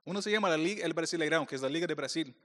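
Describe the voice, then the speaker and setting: Middle-aged Brazilian-accented preacher, raised voice, playful, echoing hall. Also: male voice, on the high side, racing, a bit bright. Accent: Brazilian Portuguese. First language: Portuguese. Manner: joking, playful with the audience. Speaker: pastor speaker. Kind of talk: conference talk. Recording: long hall reverb.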